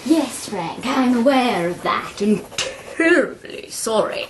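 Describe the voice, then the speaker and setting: An actress playing a young woman who puts on stilted posh speech, slow, over-enunciated and almost robotic, in a radio drama. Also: fairly high pitch, very animated, noisy background, full character voice. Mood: affected, prim.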